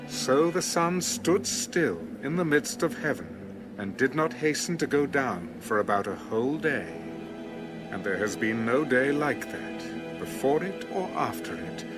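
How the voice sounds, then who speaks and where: Male scripture narrator, dramatic scripted read with lively intonation, music behind. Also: medium pitch, measured pace. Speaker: audio Bible narrator.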